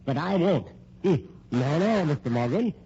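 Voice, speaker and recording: A man's voice: a little high, expressive, moderate pace, warm tone, husky. An actor playing a gullible, tight-fisted rich old American man in an old radio crime drama, stammering, blustery and repeating himself. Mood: suspicious, stubborn. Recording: dull old radio recording, faint noise.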